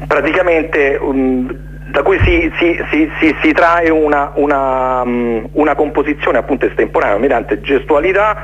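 A man giving an informal radio interview as a guest, speaking haltingly, searching for words and repeating himself. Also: on the high side, fairly bright tone, husky, dull audio. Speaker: interview guest, jazz musician presenting his concert series.

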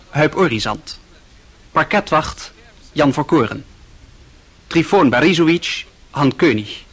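Male radio announcer reading credits, bit high for a guy, husky, formal. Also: fairly bright tone. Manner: formal, measured.

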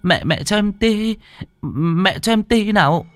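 A man voicing a frightened young mother, crying and begging for help for her baby, in a very high, strained voice. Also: lively intonation, takes it slowly, fairly bright tone, no echo, soft background music, full character voice. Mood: forced cheer. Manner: wailing, pleading, high-pitched.

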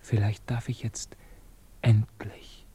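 An actor in a German radio play playing a troubled, secretive lover, restless and anguished, speaking hesitantly and repeating himself. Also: male voice, on the low side, little pitch movement, slow, dark tone, breathy edge, in a whisper, exaggerated voice. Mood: weary, halting.

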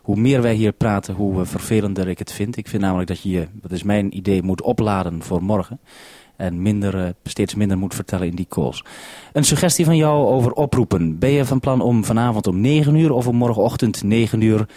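A male radio presenter with a mellow, slightly low voice. He runs a formal radio call in a measured, businesslike way. It is a dry-sounding 1970s broadcast. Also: no echo.

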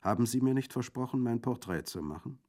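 A man's voice: mid-range voice, expressive, brisk, a little mellow, pitched above the performer's own voice, close to an everyday voice. A man voicing a fierce young woman. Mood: lively. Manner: urgent, commanding, raised voice. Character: passionate young Corsican woman, fierce and bold.

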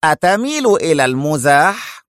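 Man acting out a sarcastic police inspector, pitched high. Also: sing-song, moderate pace, no room sound, studio quality. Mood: irritated, sharp. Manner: mocking, sharp, incredulous. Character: sarcastic, hot-tempered male police inspector interrogating suspects. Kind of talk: dramatized audiobook character voice.

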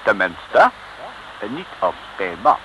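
An actor playing a smug mayor who threatens politely and haltingly, in old radio serial style. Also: male voice, on the low side, sing-song, dull audio. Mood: smug, threatening. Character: smug, smoothly menacing male small-town mayor. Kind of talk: 1950s-60s radio serial acting. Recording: noisy old radio recording.

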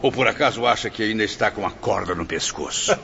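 A man's voice: fairly low pitch, quick pace, vocal fry. An actor playing an ironic, courtly nobleman, a spirit patient in a radio drama, with theatrical, teasing delivery. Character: ironic, fervent nobleman, repentant spirit patient in afterlife hospital. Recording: muffled lo-fi radio drama, background noise.

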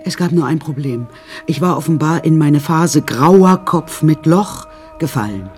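An older woman in a radio drama, recounting a grim life with dry, imperious wit. She takes her time over each phrase and swings widely in pitch, confiding and theatrical. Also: expressive, darkish tone, faint music behind. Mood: wry recounting. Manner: wry, theatrical, drawn-out confiding delivery. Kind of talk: radio drama first-person narration.

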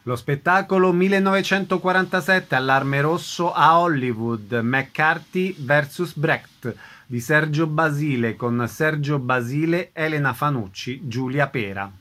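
A man's voice: on the high side, measured pace, bright, forceful tone. A man hosting a radio programme, reading scripted announcements and introducing an interview. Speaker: radio show host.

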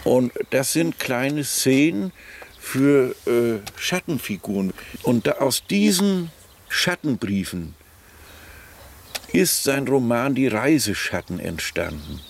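A man explaining informally, halting and searching for words in fragmentary sentences as he thinks aloud. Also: mid-range voice, animated, vocal fry, slight background noise.

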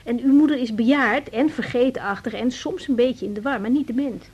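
A woman's voice: a little high, a little fast, a little mellow, slightly rough, highs cut off. Patient young woman doctor. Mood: firm, reasoning. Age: young adult. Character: patient, reasonable young female family doctor. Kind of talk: 1980s Dutch radio drama acting.

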